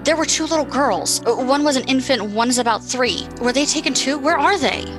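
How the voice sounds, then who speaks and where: A woman's voice: sits high, a little fast, music in the background, close to an everyday voice. An actress playing a brash, defiant, foul-mouthed woman who likes a drink, in an audio drama. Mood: tipsy, worried. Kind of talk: modern audio drama acting.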